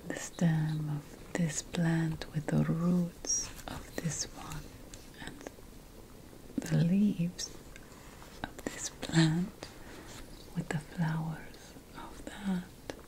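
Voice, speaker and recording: A woman playing an ancient witch priestess, a patient and lofty potion scholar, in a hushed, deliberate ASMR fantasy roleplay. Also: low voice, flat delivery, heavily acted, rough recording. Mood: hushed, instructive. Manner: hushed, deliberate, lingering over lists.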